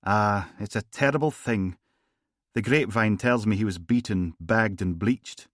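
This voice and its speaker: A man voicing a smooth, smiling gangster boss with an Edinburgh accent, genteel and falsely courteous. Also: fairly low pitch, measured pace, no room sound, studio quality. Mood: silky. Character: smooth, smiling male gangster boss, middle-aged, menacing. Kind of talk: audiobook character voice.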